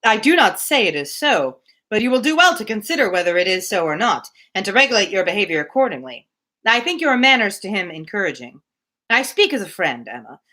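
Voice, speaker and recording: Storybook gentleman, played by a woman: grumpy, opinionated, formal, crisp-edged bright tone, lively pitch movement. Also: brisk. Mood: cautioning. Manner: formal, opinionated, emphatic phrasing. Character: grumpy, blunt, sly gentleman brother-in-law.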